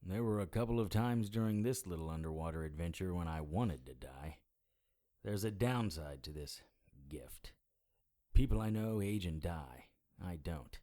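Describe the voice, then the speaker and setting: An actor playing a wry, world-weary immortal investigator in middle age, with a dry, dramatic delivery in an audio drama. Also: male voice, low-pitched, animated, normal speed, dark tone. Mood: weary, confiding. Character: wry world-weary immortal male investigator who looks about forty. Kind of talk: audio drama dialogue and first-person noir narration.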